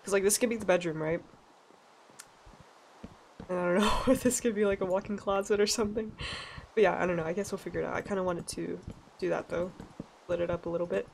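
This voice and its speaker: A young woman from Sweden with a stuffy, nasal voice, chatting casually and laughing as she narrates a relaxed gameplay session. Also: fairly low pitch, quick pace, vocal fry, long pauses, slight background noise, rough recording. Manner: chatty, rambling, laughs often. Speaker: let's play gamer.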